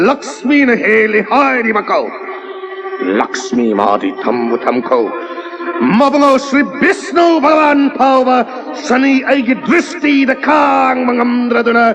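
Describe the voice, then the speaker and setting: An actor playing a proud, vengeful male god in a mythological audio drama, declaiming theatrically with threats and sudden shouts. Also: very high voice, animated, racing. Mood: indignant, wrathful. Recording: dull lo-fi audio with loud music.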